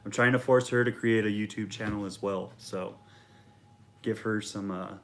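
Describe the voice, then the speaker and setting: A man with a deep voice chatting casually to his viewers in a relaxed, rambling way with plenty of fillers. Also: normal speed. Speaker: reptile vlogger. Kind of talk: casual talk to camera.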